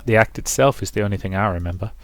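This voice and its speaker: A man voicing a stern, weary, bookish gentleman in a visual novel, measured, curt and reserved. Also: lowish voice, no room sound, lightly acted. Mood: stern, subdued.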